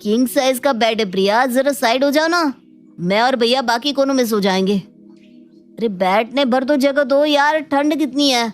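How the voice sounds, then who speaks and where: A woman's voice: high-pitched, measured pace. A woman voicing a cheerful, clueless young husband and younger brother in a cartoon. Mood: pleading. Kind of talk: Hindi cartoon family drama acting. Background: faint background music.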